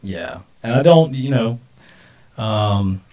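A man in his forties co-hosts a live stream in loose, casual conversation. His voice is medium-pitched and sits a little back from the desk microphone. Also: expressive, slow pace, a little mellow, recorded from a distance.

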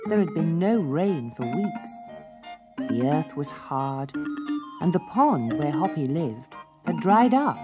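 Low, expressive woman storyteller. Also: dull audio. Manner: expressive, dramatic. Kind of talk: scripted storybook narration.

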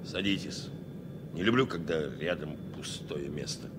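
Deep-voiced, stoical airline captain. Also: male voice, very noisy. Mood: stern command. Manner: commanding, terse, measured. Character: stern, stoical male airliner captain, weary and commanding. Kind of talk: Soviet radio play acting.

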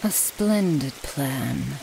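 A woman's voice: very deep voice, animated, creaky, background noise, full character voice. A woman acting an elegant, menacing vampire mistress in an audio roleplay, speaking theatrically with touches of French. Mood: mocking, menacing. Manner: slow, theatrical, sprinkles French phrases.

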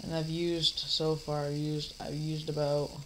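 A man's voice: fairly high pitch, completely flat delivery, unhurried, slight background noise, low-quality recording. A teenage boy coming down with a cold, rambling casually through a home vlog with lots of fillers and restarts. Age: teens. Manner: mock-scolds an imaginary heckler. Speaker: vlogger. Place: dim living room, seated in a recliner.

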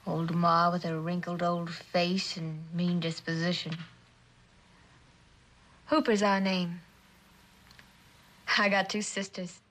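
A woman's voice: fairly low pitch, a bit bright. A young American actress playing a blunt, breezy, kind-hearted female drifter in a mid-century suspense drama, with casual, slangy delivery. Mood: wry, frank. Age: young adult. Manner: casual, slangy, plain-spoken.

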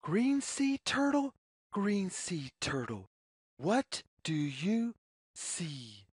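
A woman reads a picture book aloud for young children at a very unhurried, deliberate pace. Her mid-pitched voice swings up and down in a sing-song pattern, with a slightly husky edge. Each phrase is set out word by word. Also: big pitch swings, a little mellow, dry recording, studio quality. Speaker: story reader.

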